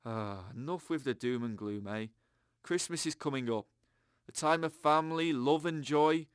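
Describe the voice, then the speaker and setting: An actor playing an earnest, upbeat radio host, chatting to listeners in an audio drama. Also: male voice, mid-range voice, moderate pace, smooth, dry recording, clean studio sound, lightly acted. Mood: chatty, sincere. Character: chirpy, earnest, government-trusting male radio show host.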